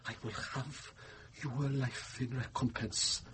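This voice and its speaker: A man's voice: mid-range voice, steady tone, slow, a bit bright, a little hiss or hum. A man playing a desperate, vengeful ruined young man in a radio drama, menacing and theatrical. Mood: bitter, vengeful. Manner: menacing, theatrical, impassioned delivery.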